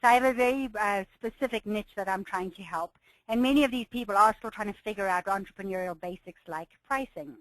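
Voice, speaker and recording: A woman presenting a business webinar as a coach, slightly hoarse from a cold, with an enthusiastic, encouraging manner and frequent light laughs. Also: mid-range voice, fast, fairly bright tone, dry recording, rough recording.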